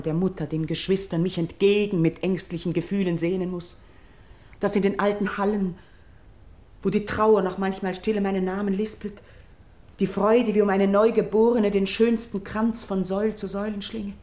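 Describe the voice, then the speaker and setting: An actress playing an earnest, steadfast Greek princess and priestess in a classical verse radio drama, speaking in solemn, measured, elevated declamation. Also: female voice, medium pitch, flat delivery, dark tone, natural voice. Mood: earnest, pleading. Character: noble Greek king's daughter turned priestess, earnest and steadfast.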